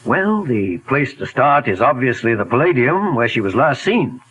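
A calm, methodical detective from a 1940s radio drama, who asks measured, probing questions. His voice rises and falls with lively swings of pitch while staying at an ordinary height. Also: husky, highs cut off. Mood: inquiring.

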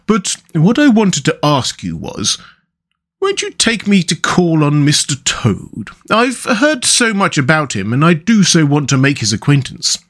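A man's voice: fairly high pitch, huge pitch swings, a little slow, a bit bright, voice pushed up, heavily acted. A man voicing a polite, eager, candid mole from a storybook, speaking earnestly. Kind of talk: storybook character dialogue.

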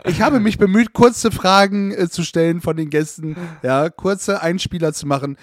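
A man's voice: high-pitched, moderate pace, dry recording, studio quality. A man interviewing the host, teasing him and hesitating with fillers. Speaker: guest interviewer. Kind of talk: casual conversation.

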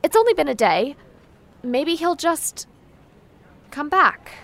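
Casting: guarded teen, higher voice, curt and irritable, naturalistic audio drama delivery. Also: female voice, very animated, slow, a bit bright, full character voice. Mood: irritated, dismissive. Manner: curt, dismissive. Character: guarded, irritable teenager, curt former friend.

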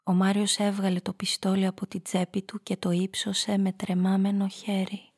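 An actress playing a hard-boiled femme fatale who narrates her downfall in a radio drama, in a measured, confessional way. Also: medium pitch, monotone, darkish tone, husky. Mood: dark, haunted.

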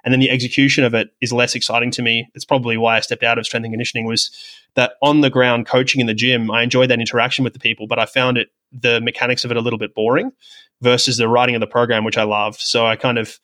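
A man's voice: medium pitch, level tone, quick pace, bright, forceful tone. A man speaking conversationally as an interview guest, explaining things in a rambling, reflective, self-deprecating way. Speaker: podcast guest, sports technology professional with medical and coaching background.

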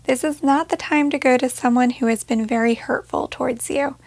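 A woman leading a guided meditation in a gentle, measured way, leaving pauses between short phrases. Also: high-pitched, fairly even intonation, no room sound.

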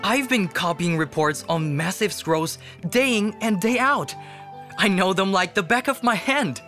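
An actor playing a passionate, deferential seventeen-year-old court painter in a radio drama, speaking earnestly. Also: male voice, sits high, animated, measured pace, bright, forceful tone, heavily acted. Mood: eager, fervent. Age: young adult. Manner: earnest, respectful. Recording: music behind the voice.

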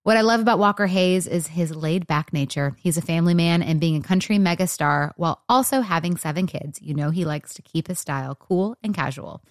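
A woman's voice: on the low side, a little fast, few pauses, no echo, clean studio sound. A woman announcer giving an upbeat, polished scripted ad read.

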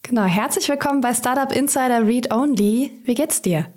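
A woman's voice: on the high side, normal speed, a little mellow. Upbeat woman hosting, voice a bit worn. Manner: upbeat, effusive introductions. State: slightly under the weather, voice strained. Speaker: podcast host. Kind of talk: conversational podcast interview.

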